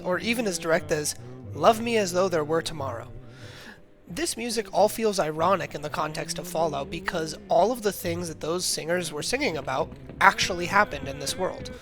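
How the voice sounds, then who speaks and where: A young man narrating a scripted video essay as a student presenting an analysis, reading steadily from a prepared text. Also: a little high. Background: music playing under the voice.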